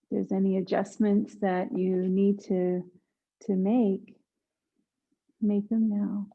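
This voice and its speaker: A woman guiding a yoga nidra meditation in a hushed, soothing manner, leaving long pauses between instructions. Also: medium pitch, little pitch movement, vocal fry, highs cut off. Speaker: meditation guide.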